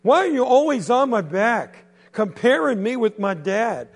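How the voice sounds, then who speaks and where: A fed-up teenage boy pleading to be left alone, as a male storyteller acts him out. The voice is raised and high, with an exasperated push. It echoes through a large hall. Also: animated, moderate pace, vocal fry, husky, soft background music, heavily acted. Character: angry fourteen-year-old boy fiddler.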